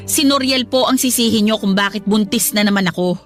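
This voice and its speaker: A woman's voice: mid-range voice, fairly quick, natural voice. An actress playing a young mother in a radio drama, polite and defensive as she pleads her case. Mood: defensive, pleading. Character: meek, defensive young mother, a daughter-in-law. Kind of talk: Tagalog radio drama acting. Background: background music.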